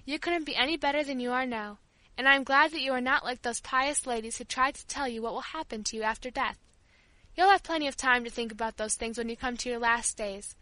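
A woman's voice: fairly high pitch, sharp tone, dry recording, rough recording. A woman voicing a dismissive, cheerfully reassuring husband in an audiobook, breezy and patronizing. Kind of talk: audiobook character dialogue.

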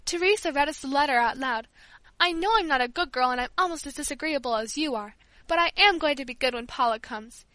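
A woman voicing an impulsive, excitable young girl in a storybook reading, talking eagerly and pleading. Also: sits high, brisk, no echo. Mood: excited. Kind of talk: audiobook character voice.

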